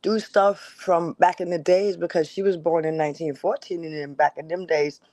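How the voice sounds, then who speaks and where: A woman's voice: fairly low pitch, steady tone, moderate pace, dark tone, no room sound. A middle-aged woman speaking earnestly and plainly about her own life as a talk show guest. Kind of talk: personal reflection in conversation.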